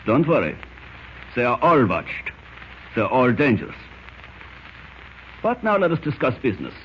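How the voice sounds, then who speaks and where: A cold, theatrical German spymaster, wildly animated. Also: male voice, mid-range voice. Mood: cold, intent. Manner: formal, deliberate, menacing, theatrical. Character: cold fanatical German spymaster, cunning and grandiose. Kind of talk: 1940s radio acting. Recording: scratchy, muffled old-time radio recording.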